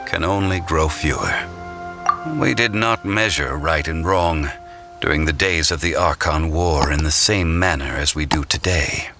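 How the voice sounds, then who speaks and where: An ancient male deity living in human guise in a fantasy video game. His voice is deep, his phrasing formal and deliberate. He carries himself with calm dignity and quiet reflection, with music clearly playing behind him. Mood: wistful, calm. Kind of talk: scripted video game dialogue.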